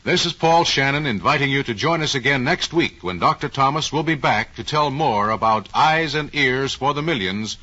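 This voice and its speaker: Bright, husky male old-time radio host. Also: medium pitch, animated, normal speed, few pauses. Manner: polished, formal announcer delivery. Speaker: programme host and announcer. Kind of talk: scripted 1940s radio narration. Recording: dull old radio recording.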